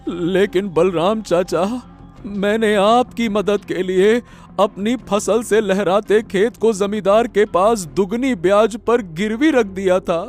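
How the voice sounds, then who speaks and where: An actor playing an earnest, troubled young farmer in a cartoon moral story, melodramatic, halting and pleading. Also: male voice, high-pitched, faint music behind. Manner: halting, pleading, repeating words.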